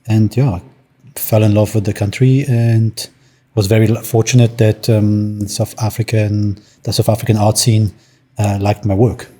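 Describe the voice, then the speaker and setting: A man talks casually about his life as an artist. His voice sits low and sounds mellow and dark. He hesitates a lot, filling gaps with ums and restarting sentences. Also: flat delivery, a little slow. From Germany. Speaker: artist guest. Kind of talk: casual interview conversation.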